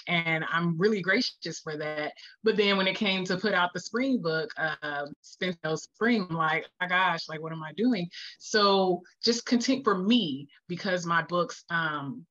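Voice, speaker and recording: A woman with a bright, forward voice chats as an author being interviewed. She is candid and acts out her own thoughts as she goes. The audio is somewhat dull and lo-fi. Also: lowish voice. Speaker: guest, children's book author. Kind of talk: interview answers, casual chat.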